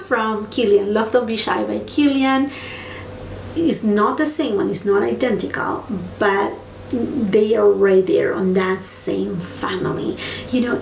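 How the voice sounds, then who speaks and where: Woman, enthusiastic beauty vlogger, higher voice, roomy echo, a bit far from the mic. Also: a little mellow, a little hiss or hum. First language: Spanish. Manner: enthusiastic, gushing, repeats words for emphasis. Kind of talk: casual product review.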